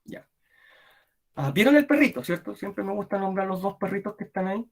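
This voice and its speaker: A man's voice: high-pitched, fairly quick, creaky, a little hiss or hum. A Chilean man lecturing history to students watching from home. His voice rises and falls widely as he tells the story, and he keeps stopping to ask whether they are following. He has the talkative, familiar manner of a classroom teacher. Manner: animated, keeps checking in with "¿cierto?" and "¿ya?".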